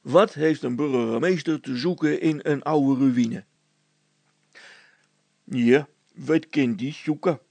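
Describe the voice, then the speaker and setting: Man playing a creaky, gossipy village policeman. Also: medium pitch, takes it slowly, long pauses, a little mellow, no room sound. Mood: secretive. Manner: hesitant, confiding, bossy, stammering over words.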